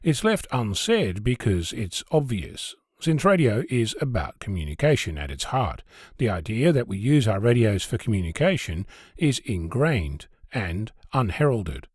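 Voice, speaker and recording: Male podcast host, animated pitch, scripted essay delivery, conversational, wry, very dry close recording. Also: measured pace, husky, no echo. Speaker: host of an amateur radio podcast. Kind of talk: scripted spoken essay, narration.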